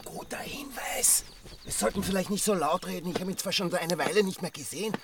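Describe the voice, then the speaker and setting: An actor playing a cagey, gossipy village chronicler in a radio drama, with an Austrian accent and a cautious, confiding manner. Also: male voice, high-pitched, brisk, creaky, distant mic, over music. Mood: hushed, cautious.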